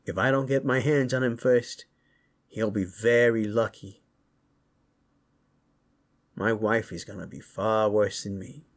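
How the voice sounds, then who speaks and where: A man's voice: fairly low pitch, steady tone, unhurried, pauses often. A man voicing a brash, impatient orc warrior and protective family man in a roleplay audio drama, swearing freely. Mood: menacing pride.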